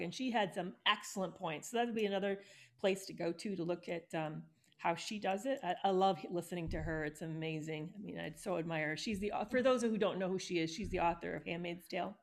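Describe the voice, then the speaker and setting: A woman's voice: fairly low pitch, fast, gravelly. A woman in a casual chat, giving advice on writing and affirming often. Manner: encouraging, gives advice, many affirmations.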